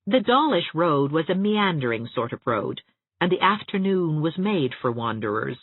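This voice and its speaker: Woman audiobook narrator, lively varied intonation, husky tone, scripted literary storytelling, thin band-limited sound. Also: sits low, normal speed, no echo. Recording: narrow, phone-like audiobook sound.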